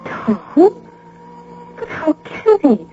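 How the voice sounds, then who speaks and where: Mellow-voiced, questioning young widow. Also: female voice, sits high, wildly swooping pitch, drawn-out words, heavily acted. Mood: bewildered. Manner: plain-spoken, questioning. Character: confused, searching young widow from the Midwest. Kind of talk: old-time radio drama acting. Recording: muffled, noisy radio drama recording.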